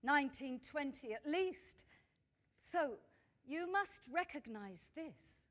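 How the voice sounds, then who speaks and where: An actress playing a polite, admiring museum host who interviews a guest in an earnest, welcoming way in a radio drama. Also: female voice, high-pitched, expressive, slow, fairly bright tone, full character voice.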